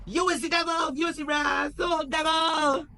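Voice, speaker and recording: A man reading aloud, voicing an indignant man who shouts in a high voice. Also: moderate pace, slight background noise. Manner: high-pitched, shouting, dramatic.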